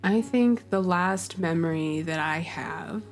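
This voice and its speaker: A woman playing a haunted, halting ancient being. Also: lowish voice. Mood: haunted, dazed. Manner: halting, slow, hushed.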